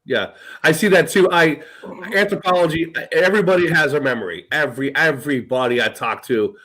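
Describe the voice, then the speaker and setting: Animated, swearing male podcast host. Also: a little high, a little fast. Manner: animated, swears, laughs often. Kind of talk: casual chat.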